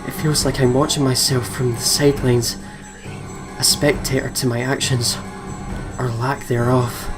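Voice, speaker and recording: An excitable let's play host with a mid-pitched voice. They read a horror visual novel aloud and slip into casual, jumpy commentary with some swearing. Game music plays behind the voice. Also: unhurried.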